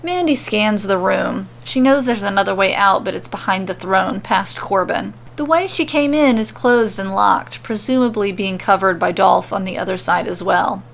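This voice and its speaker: A woman's voice: medium pitch, moderate pace, vocal fry, few pauses, a little hiss or hum. A woman narrating scripted comedic fan fiction as a storyteller.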